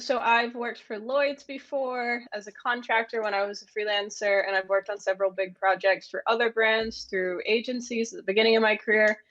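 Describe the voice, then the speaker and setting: A woman's voice: medium pitch, fairly bright tone, no room sound, highs cut off. A woman from the United States with an American accent, answering conversationally as an interview guest. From United States (Maine). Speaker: interview guest, agency managing director.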